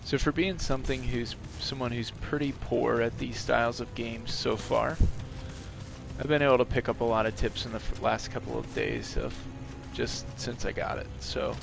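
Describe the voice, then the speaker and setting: Hoarse gravelly man streaming a game. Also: mid-range voice, fairly even intonation, moderate pace, music in the background. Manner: chatty, explains game to a viewer. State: hoarse from recent illness. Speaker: gaming streamer. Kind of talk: casual live gameplay commentary.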